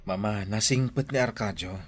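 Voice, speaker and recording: A man's voice: fairly low pitch, normal speed, slightly rough. A man playing an earnest, persuasive adult son in an Ilocano radio drama.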